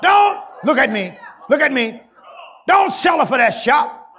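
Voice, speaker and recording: A man preaching a sermon to his congregation, shouting and chanting in a call-and-response style. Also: very high voice, sing-song, unhurried. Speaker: pastor. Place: large church. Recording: crowd and noise behind, loud. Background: congregation crowd.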